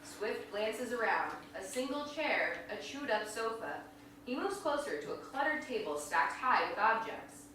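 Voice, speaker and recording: A woman narrates stage directions from a script at a live play reading. Her bright, crisp-edged voice comes from some distance in a very echoey room, with faint noise. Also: a little high, big pitch swings, normal speed.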